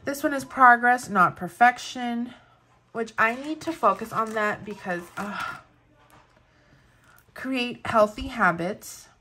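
A woman's voice: on the high side, slow pace, sharp tone, slight background noise. A woman in her thirties with a Spanish accent, chatting casually and gushing over planner products, with lots of fillers. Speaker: planner vlogger. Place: indoors at a table, overhead camera on hands.

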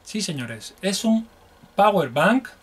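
A man's voice: high voice, very animated, takes it slowly, slightly rough. A man in his thirties from Spain with a Spanish accent, chatting informally as he hosts a casual unboxing video at home. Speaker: video host showing collectibles. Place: small room at home, indoors.

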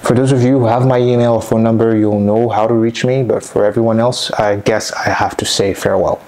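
A man in his thirties from Congo, a native French speaker, giving an earnest, measured vlog monologue into a lapel mic in a small dim room at home. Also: fairly low pitch, fairly even intonation, darkish tone, low-quality recording. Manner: measured, earnest, reflective delivery. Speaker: vlogger. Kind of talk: scripted personal vlog monologue. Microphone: clip-on lapel mic.

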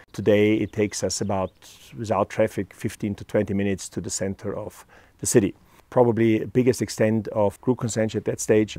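A middle-aged man with an Austrian accent, a wine estate owner presenting a tasting outdoors, speaking in a measured way with many pauses. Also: lowish voice, gravelly, mellow, no room sound. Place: outdoors on a hilltop above a lake.

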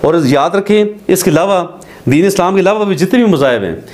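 Earnest Pakistani man, measured commentary. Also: sits high, animated, a little mellow. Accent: Pakistani Urdu. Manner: earnest, measured, frequent hesitations. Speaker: advocate commentator. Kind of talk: direct-to-camera commentary. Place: studio with green screen backdrop.

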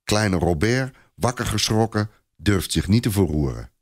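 A man's voice: lowish voice, animated, a little slow. A man narrating a scripted story in a dramatic storytelling style.

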